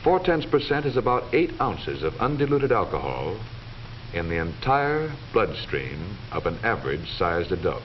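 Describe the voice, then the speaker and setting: Deep-voiced American man, vintage film narrator. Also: sing-song. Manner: formal, measured, authoritative delivery. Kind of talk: 1940s scripted educational film narration. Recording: dull vintage 1940s film soundtrack, faint noise.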